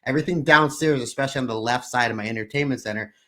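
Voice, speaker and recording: A man in his thirties or forties co-hosting a podcast, a little hoarse, telling long upbeat stories in casual chat. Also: medium pitch, rapid-fire, a bit bright. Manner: rambling, upbeat storytelling with frequent restarts. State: slightly hoarse. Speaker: podcast co-host.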